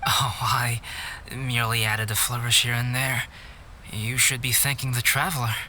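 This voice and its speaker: A man voicing a calm, cultured young artist in a video game, speaking in a measured, formal, politely aloof way. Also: mid-range voice, a little hiss or hum. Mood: gentle, reflective. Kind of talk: scripted game voice acting.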